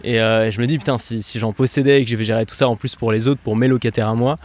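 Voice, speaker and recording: Fast-talking man explaining investing casually. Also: medium pitch, fairly even intonation, darkish tone. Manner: fast, explanatory, peppered with fillers. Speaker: podcast host. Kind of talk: casual conversational interview.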